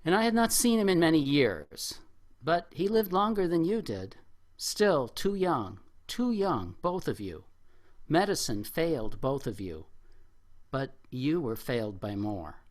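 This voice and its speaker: A middle-aged or older man speaking at a poetry open mic in a reflective, expressive way. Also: on the high side, a little slow. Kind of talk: spoken poetry reading with informal remarks to an audience.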